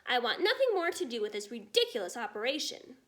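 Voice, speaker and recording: Woman playing a blustering army general, high and animated. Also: quick pace. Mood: furious, miffed. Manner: indignant bluster. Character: blustering, short-tempered male army general.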